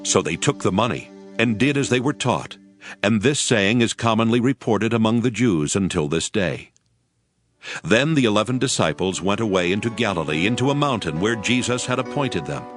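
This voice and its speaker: A male narrator reads scripture as a solemn, measured dramatized story. His voice is fairly low, and music plays clearly behind it. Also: lively intonation.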